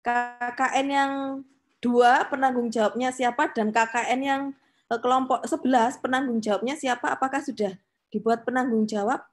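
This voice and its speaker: A woman's voice: fairly high pitch, steady tone, normal speed. A woman runs an online meeting as a lecturer. She speaks informally, directing people and checking in with questions. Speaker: lecturer supervising a student group meeting.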